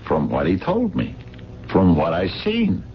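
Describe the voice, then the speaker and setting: Radio mystery: dogged, slangy street sleuth, deep and animated, muffled old broadcast. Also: male voice, a little slow, vocal fry, slight background noise, heavily acted. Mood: insistent, reasoning. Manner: slangy, emphatic, reasoning step by step. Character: streetwise working-class man, dogged amateur sleuth. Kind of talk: radio mystery drama acting.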